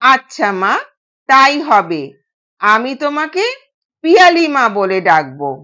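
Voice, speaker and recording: Anxious elderly house servant, acted by a woman, respectful, unhurried, lively high pitch, audio story. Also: dull audio, full character voice. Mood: obedient. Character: timid, deferential older male household servant.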